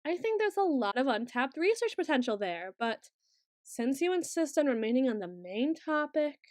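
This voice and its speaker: Female actor for awkward, protective student girl in ASMR roleplay: higher pitch, formal intellectual phrasing, hesitant, very dry close sound. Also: very animated, normal speed, a little mellow, no room sound. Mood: clinical, apologetic. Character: awkward, bookish young woman student, secretly obsessive, protective.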